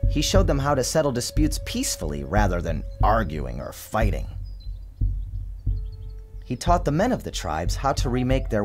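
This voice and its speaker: A man with a low voice tells a storybook tale in a theatrical style. His pitch rises and falls widely as he narrates. Music plays clearly behind him. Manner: theatrical, expressive storyteller delivery. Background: music and sound design.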